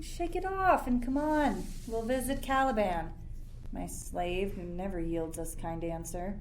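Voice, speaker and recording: An imperious sorcerer, acted by a young woman, speaks theatrical old verse with stern command. The pitch is medium, and faint noise and ambience sit behind this radio-play performance. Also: measured pace, a little mellow, close to an everyday voice, poor audio. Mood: gentle, coaxing. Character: Prospero, imperious, stern sorcerer ruling an island.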